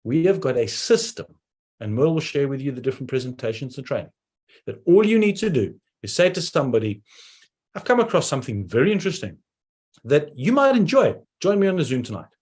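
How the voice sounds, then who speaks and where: A man with a South African accent giving a persuasive online presentation, talking in quick bursts with sudden slowdowns. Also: medium pitch, sing-song, a little mellow, slightly rough.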